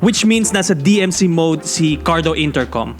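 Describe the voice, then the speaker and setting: High-pitched Filipino man, casual vlogger. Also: lively intonation, normal speed, soft background music. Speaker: motorcycle vlogger and host. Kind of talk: casual bilingual tutorial talk.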